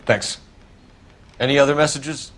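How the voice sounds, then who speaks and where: Young 1940s American detective, played by a man, stern, driven, clipped delivery, middle pitch, for a crime game. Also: fairly even intonation, a little slow, a bit bright, slight background noise, natural voice. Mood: businesslike. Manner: clipped, authoritative. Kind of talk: video game crime drama acting.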